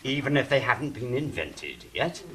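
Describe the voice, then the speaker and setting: A man's voice: mid-range voice, sing-song, moderate pace, a bit bright, slight background noise. A man playing an earnest, skeptical angel in an audio drama, questioning and chiding.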